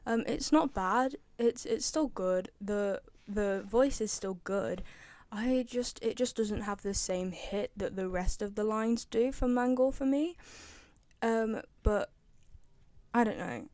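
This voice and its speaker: A woman's voice: medium pitch, measured pace, darkish tone, no room sound. A young woman giving casual, opinionated fan commentary on a game, chatty and repeating phrases for emphasis. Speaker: video creator, game fan.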